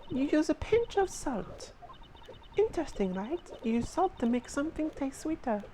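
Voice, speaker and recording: A woman voicing a flustered, fussy female baker who is a witness in a fan dub of a courtroom game; excitable and defensive. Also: sits high, a little hiss or hum. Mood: rambling. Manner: excitable, defensive, quick to snap.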